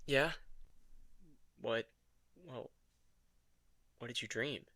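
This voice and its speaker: An actor playing a grumpy, awkward, lovestruck male vampire in an intimate romantic audio drama, with a halting, flustered, terse delivery. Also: medium pitch, bright, forceful tone, dry recording. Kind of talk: romantic fantasy audio drama acting, ASMR.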